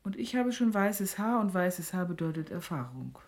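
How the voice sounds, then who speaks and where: An actress playing a suspicious, sharp-tongued middle-aged mother who interrogates sternly and sardonically in a radio play. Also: on the low side, very animated, moderate pace.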